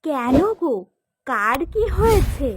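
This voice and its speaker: A woman's voice: high-pitched, very animated, slow, a little hiss or hum, full character voice. A woman with a Bengali accent playing a concerned, homely village housewife in a folk-tale drama.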